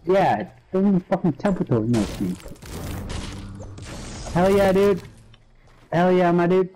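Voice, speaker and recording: A man streaming a shooter game, cursing and trash-talking excitedly in casual voice chat with his squad. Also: sits high, takes it slowly, slight background noise, rough recording. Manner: crude, profane, excitable trash talk.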